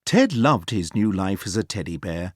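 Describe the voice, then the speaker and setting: Animated male storybook narrator, dry sound. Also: sits low, moderate pace, no room sound. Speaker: storybook reader. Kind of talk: animated children's read-aloud narration.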